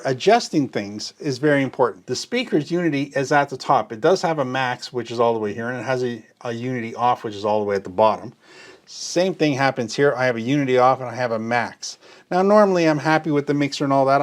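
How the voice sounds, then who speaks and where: Brief: middle-aged male gear demonstrator, mid-pitched, patient step-by-step explainer. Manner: explanatory, uses everyday analogies. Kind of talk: hands-on product tutorial.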